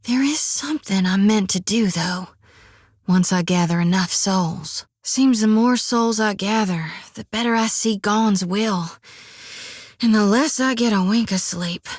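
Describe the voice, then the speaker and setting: A young woman playing a folksy, devout young priestess, rural drawl with "reckon" and "ain't", middling pitch, hushed whispers at times, dry booth sound. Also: measured pace, no echo, close to an everyday voice. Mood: haunted, hushed. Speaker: companion character in a fantasy role-playing game. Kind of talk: video game voice acting.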